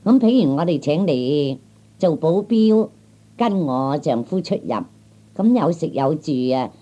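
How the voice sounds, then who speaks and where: Man playing a brisk, mellow Cantonese married lady. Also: a little high, big pitch swings, dull audio. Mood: brisk, polite. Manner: coaxing, instructing, polite. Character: brisk, businesslike married lady hiring a bodyguard. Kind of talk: 1960s Cantonese radio comedy acting.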